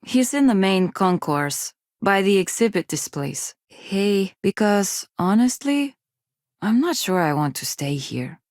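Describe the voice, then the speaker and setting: An actress playing a shy, self-doubting young undergraduate from a poor space colony, with a hesitant, awkward manner, in video game dialogue. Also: female voice, mid-range voice, big pitch swings, measured pace, darkish tone, no echo, clean studio sound. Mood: earnest, downcast. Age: young adult.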